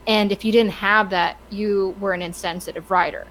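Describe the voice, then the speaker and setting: A woman's voice: mid-range voice, steady tone, normal speed, fairly bright tone, slight background noise, poor audio. A woman chatting casually and giving her opinions on a podcast, stammering and restarting her sentences often. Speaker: podcast co-host.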